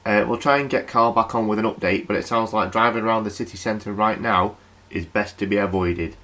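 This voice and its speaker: A man's voice: on the low side, fairly even intonation, brisk, pushed and bright. An actor playing a chatty, wry male local radio night host. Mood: mildly flustered.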